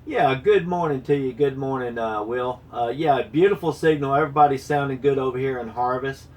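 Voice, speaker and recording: A man checking in casually as an amateur radio operator over AM shortwave, talking in a halting, unhurried way. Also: medium pitch, a little mellow. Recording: distant-sounding AM shortwave radio transmission.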